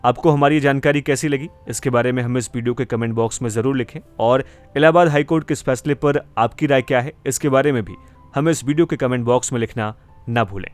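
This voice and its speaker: A man's voice: medium pitch, quick pace. A man presenting a scripted news explainer in the manner of an anchor. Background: background music.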